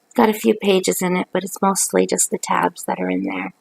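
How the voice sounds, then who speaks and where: A middle-aged woman from Canada, hoarse from a cold, chatting casually through a craft haul video on her couch, with lots of ums. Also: medium pitch, level tone, brisk, a little mellow, no echo, rough recording. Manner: rambling, chatty, many ums. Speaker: craft vlogger. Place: living room at night, on a couch. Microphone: webcam at close range.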